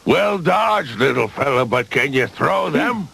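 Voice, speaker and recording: An actor playing a mocking, boastful male giant with a gravelly, drawling voice. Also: a little high, sing-song, a little slow, full character voice. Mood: taunting. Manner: taunting. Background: background music.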